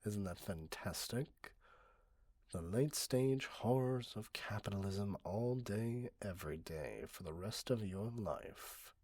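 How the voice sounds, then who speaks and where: A man voicing an entitled, middle-aged, obsessive customer in an ASMR roleplay, husky, condescending and possessive, close to the microphone. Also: on the low side, expressive, takes it slowly, a little mellow, no room sound. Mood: smug, doting. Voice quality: husky, often breathy. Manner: condescending, self-righteous, cloyingly possessive. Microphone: close, dry microphone.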